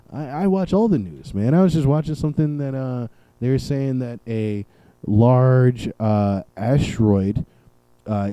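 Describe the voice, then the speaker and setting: A man's voice: mid-range voice, dark tone, no echo, poor audio. A young man hosting his own podcast alone, riffing in a sarcastic, rambling way with plenty of profanity. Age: young adult. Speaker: podcast host. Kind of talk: solo comedic podcast rant. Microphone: camera and podcast mic.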